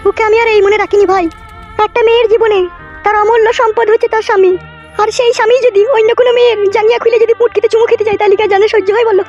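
Indignant-natured cartoon wife, piercingly high, rapid-fire, plain-spoken, muffled lo-fi audio, music behind. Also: female voice, fairly even intonation, darkish tone. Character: shrill wronged young married village woman, wary then yielding. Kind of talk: comic cartoon dialogue.

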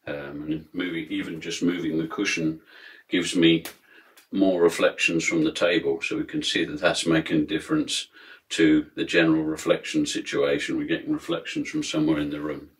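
A man in his fifties giving a measured, steady product demonstration with frequent ums, in a small room lined with acoustic foam, recorded on a close camera at a desk. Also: very deep voice, poor audio.

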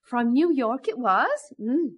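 Husky, high-voiced anxious village housekeeper. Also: female voice, big pitch swings, takes it slowly, exaggerated voice. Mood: worried, apologetic. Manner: fussing, apologetic. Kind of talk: 1970s radio drama acting.

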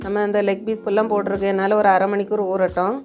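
A woman talks in an even, level tone with little rise or fall. She gives step-by-step cooking instructions in plain, homely, everyday speech. Music plays along behind her voice. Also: mid-range voice, fast talker. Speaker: home cooking channel host. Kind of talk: recipe narration, step-by-step instruction.

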